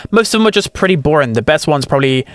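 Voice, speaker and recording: A man's voice: a little high, lively intonation, rapid-fire, no room sound, studio quality. A man giving casual, opinionated ranking commentary as host of a gaming video. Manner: chatty, opinionated.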